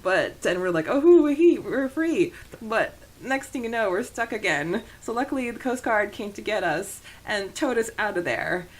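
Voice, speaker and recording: A woman's voice: medium pitch, lively intonation, moderate pace, few pauses. A woman co-hosting a podcast, telling personal anecdotes informally and acting out the quoted dialogue. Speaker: podcast host. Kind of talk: casual personal storytelling.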